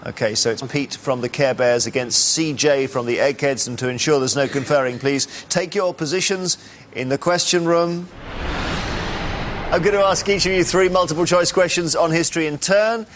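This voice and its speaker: A man's voice: on the high side, moderate pace, noisy background. A man hosting a TV quiz show, reading questions and teasing contestants with dry banter.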